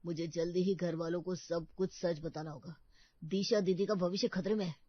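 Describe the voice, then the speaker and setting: A woman's voice: on the low side, steady tone, normal speed, dry recording, lo-fi sound, close to an everyday voice. A woman voicing an earnest, suspicious young man in a cartoon story, urgent and indignant in manner.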